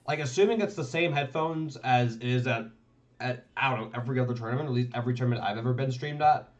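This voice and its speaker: A man's voice: medium pitch, quick pace. A man live-streaming his commentary on tournament match footage, rambling and thinking aloud, often tagging his remarks with "right?". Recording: dull lo-fi stream audio.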